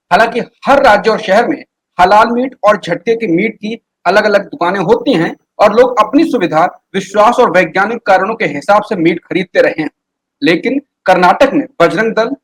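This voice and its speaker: High-pitched male news anchor. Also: breathy edge, poor audio. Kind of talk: scripted news narration.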